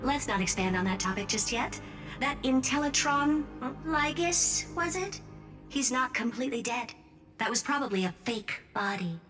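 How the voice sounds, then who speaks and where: A woman's voice: fairly high pitch, lively intonation, normal speed, over music, natural voice. A woman voicing a brilliant, haughty, flippant scientist in a video game, breezy, self-assured and teasing. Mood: musing, dry.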